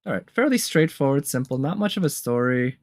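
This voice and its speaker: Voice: medium pitch, very animated, a little fast, no echo, studio quality. A casual reviewer giving reaction commentary on game trailers, conversational and musing, as if thinking aloud. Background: game trailer music.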